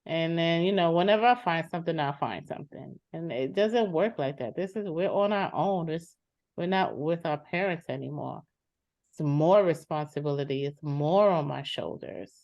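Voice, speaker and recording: In a dull, lo-fi recording, a woman plays a fed-up adult sister in a therapy dramatization. Her voice sits on the low side, and she talks bluntly and insistently, exasperated with her brother. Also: moderate pace, a little mellow. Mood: weary, distrustful. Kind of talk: dramatized therapy session, conversational. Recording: dull lo-fi sound.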